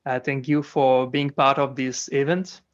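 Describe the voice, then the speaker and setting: A man's voice: medium pitch, flat delivery, unhurried, lo-fi sound. Hesitant male host, filler-heavy. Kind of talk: interview hosting.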